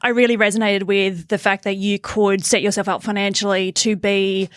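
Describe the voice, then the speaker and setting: Middle-aged Australian female guest, smooth clear voice, casual conversational storytelling, very dry sound. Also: medium pitch, flat delivery, moderate pace, no echo. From Tasmania, Australia. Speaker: podcast guest, accountant and business owner.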